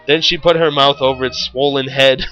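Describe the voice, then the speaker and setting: A man's voice: medium pitch, steady tone, normal speed, pushed and bright, dull audio, faint music behind. A man reading a story aloud in a theatrical, mock-serious way. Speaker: story reader, show host.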